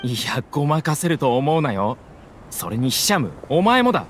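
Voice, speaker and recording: An actor playing a forthright, excitable young male mercenary in a video game, with rough, direct speech. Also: a little high, moderate pace, slight background noise. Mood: indignant.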